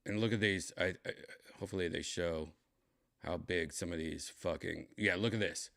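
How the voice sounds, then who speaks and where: A tipsy man with a raspy, dry-throated voice, chatting casually as a comedian hosting his livestream, rambling and clearing his throat often. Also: deep voice, normal speed, a bit bright. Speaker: comedian streaming host.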